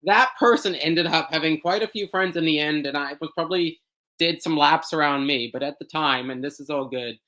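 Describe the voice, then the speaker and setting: A man's voice: fairly high pitch, a little fast, bright, forceful tone, rough recording. A young man talking candidly as an interview guest, rambling through his answers with many fillers, restarts and frequent laughs. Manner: rambling, self-deprecating, laughs often mid-sentence, many um fillers and restarts. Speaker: podcast guest. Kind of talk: candid personal interview talk.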